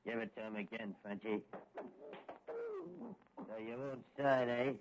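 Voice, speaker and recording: Male actor as cold gangster thug, monotone, lowish, threatening, muffled vintage radio sound. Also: unhurried, close to an everyday voice. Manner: flat, threatening. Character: menacing, cold racketeer thug, bullying. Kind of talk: 1940s radio acting. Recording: muffled, hissy 1940s radio recording.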